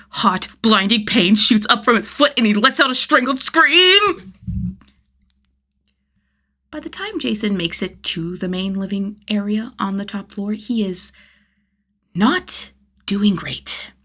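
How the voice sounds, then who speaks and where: A woman reads fiction aloud in a mid-range voice. Her narration is scripted and expressive, leaning into dramatic emphasis as an audiobook-style storyteller. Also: medium pitch, a little slow. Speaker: fan fiction podfic narrator.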